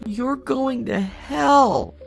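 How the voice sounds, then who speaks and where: A man's voice: very high-pitched, animated, drawn-out words, vocal fry, soft background music, pitched above the performer's own voice, heavily acted. A man voicing a frightened, weeping young boy who makes ominous accusations in a horror story. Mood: fearful, accusing. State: crying.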